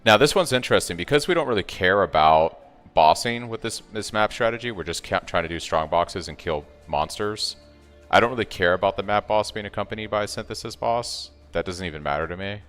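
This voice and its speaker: Deep, soothing male streamer. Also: brisk. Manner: relaxed, thinks aloud, reads and answers chat. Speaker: game streamer. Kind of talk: casual live-stream commentary. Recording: long reverb, faint music behind.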